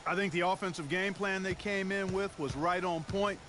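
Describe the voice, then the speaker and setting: A man's voice: high voice, steady tone, moderate pace, noisy background, natural voice. A man voicing a folksy color commentator in a basketball video game. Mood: analyzing. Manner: folksy, chatty analysis. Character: folksy male color analyst, upbeat. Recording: game audio with music behind.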